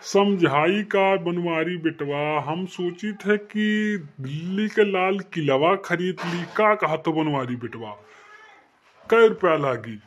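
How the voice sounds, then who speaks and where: A man's voice: high-pitched, slow, slight background noise. A man voicing a tipsy older village uncle in a comic cartoon, rambling and insisting boastfully with an Awadhi accent. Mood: musing.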